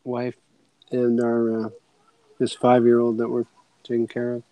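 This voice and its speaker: A man's voice: medium pitch, monotone, unhurried, no echo, dull audio. A man from Florida chatting casually in an online group hangout, giving short, easygoing answers. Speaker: hangout participant.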